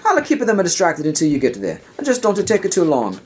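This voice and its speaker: A man performs a wise, earnest Jedi mentor for a parody audio play. The voice sits well above a normal male register and lilts with lively swings in pitch. There is clear noise behind it. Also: fairly quick. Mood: confident, playful. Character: Mario as a wise, kindly older Jedi master.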